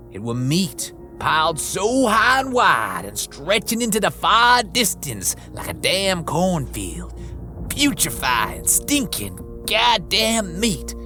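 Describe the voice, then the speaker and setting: A man narrating a horror western story in the first person, with folksy, rough frontier grammar, over background music. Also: fairly high pitch.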